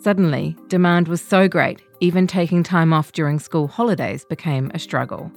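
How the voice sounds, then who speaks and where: A woman's voice: sits low, normal speed, soft background music. A female reporter reading her own long-form feature as scripted narration.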